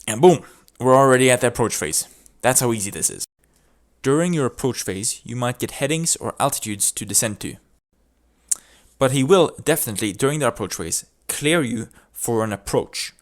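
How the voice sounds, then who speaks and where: A man's voice: mid-range voice, expressive, moderate pace. A man presenting a tutorial, explaining procedures step by step in an instructional narration. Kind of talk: instructional video narration with demonstrated radio calls.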